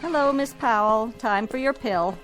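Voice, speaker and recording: An actress as a dutiful, matter-of-fact hospital nurse. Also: female voice, fairly high pitch, normal speed, fairly bright tone, soft background music, close to an everyday voice, rough recording. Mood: coaxing, professional. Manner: polite, professional, matter-of-fact. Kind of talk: radio drama acting.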